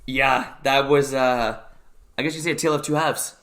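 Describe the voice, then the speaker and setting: A young man co-hosting a casual sports recap, talking in a relaxed, halting way with lots of fillers. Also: mid-range voice, creaky. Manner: relaxed, hesitant with many fillers. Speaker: co-host.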